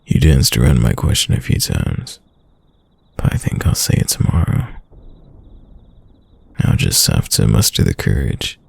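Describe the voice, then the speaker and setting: Devoted boyfriend for late-night roleplay ASMR, acted by a man: extremely deep, heavy vocal fry, tender murmur. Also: very animated, a little slow, long pauses, darkish tone, full character voice. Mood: musing. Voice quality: deep, gravelly, breathy. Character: devoted soft-spoken boyfriend whispering to his sleeping lover.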